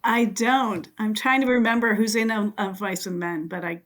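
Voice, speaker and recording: A woman's voice: medium pitch, steady tone, normal speed. A woman joining a trivia podcast as a guest player, chatting casually. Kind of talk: casual chat.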